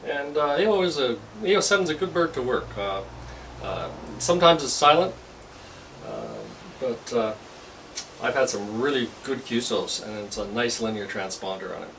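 A man's voice: mid-range voice, expressive, moderate pace. A man in his fifties, an amateur radio operator, making radio contacts and commenting casually to camera. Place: small radio shack room indoors. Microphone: camera across the room. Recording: noisy room, distant camera microphone. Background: loud hiss and radio noise.

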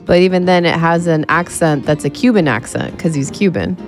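A woman with a fairly low voice chats casually on a remote call, rambling and leaning on filler words. Faint game music plays behind her. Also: brisk. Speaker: speedrun commentator. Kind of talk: casual chat.

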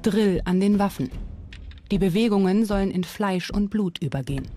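Scripted documentary narrator over clear music. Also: medium pitch, normal speed. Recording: music bed under narration.

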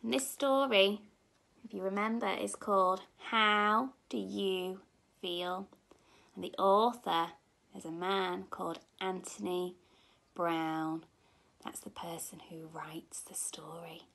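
A young woman, a nursery teacher, reading a children's story expressively and gently, as if to small children. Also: mid-range voice, fairly even intonation, slow, vocal fry. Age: young adult. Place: indoor room at home.

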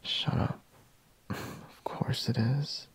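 A man playing a sleepy, reluctant, teasing boyfriend in an intimate ASMR roleplay, murmuring drowsily in a breathy half-whisper. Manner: slow, drowsy murmur with sighs and pauses.

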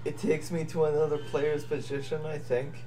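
A man's voice: on the high side, little pitch movement, moderate pace, dark tone, distant mic, soft background music, rough recording. A tired, drunk young man complaining and swearing casually while playing a party video game. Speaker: gamer. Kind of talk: casual gameplay chat.

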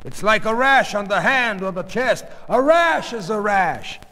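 Man acting a blustering, high-strained exasperated middle-aged husband. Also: animated, unhurried, bright, forceful tone, in a large hall, heavily acted. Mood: defensive. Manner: indignant, blustering, argumentative.

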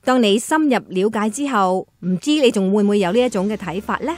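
A woman's voice: mid-range voice, expressive, fairly quick. A woman with a Hong Kong accent reading scripted narration for a radio drama. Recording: faint music behind.

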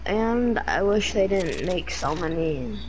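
A young woman chatting casually while playing an online game, excitable and chatty, her voice leaping into high yelps when danger comes. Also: slow pace, poor audio. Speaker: gamer streaming her play. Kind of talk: casual gaming chat. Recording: game audio noise behind.

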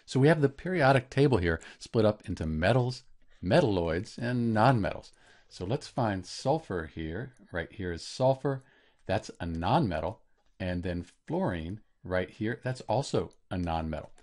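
A man narrating an instructional lesson as a teacher, explaining clearly step by step. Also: fairly low pitch, animated, a little slow, darkish tone. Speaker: chemistry teacher.

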